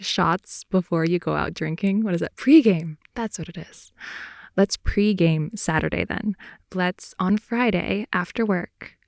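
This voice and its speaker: A young woman playing a playful, clingy girlfriend in an improvised ASMR roleplay, rambling softly and intimately close to the mic. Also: lowish voice, no echo. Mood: excited, affectionate. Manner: soft, intimate, rambling, giggly, often dropping to whisper. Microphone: close headphone-oriented ASMR mic.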